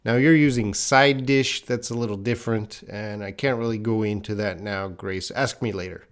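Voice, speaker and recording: A man's voice: fairly low pitch, steady tone. A man from Canada teaching an English grammar lesson live online, explaining patiently and reading out viewers' comments. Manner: slow, patient explaining, reads chat comments aloud.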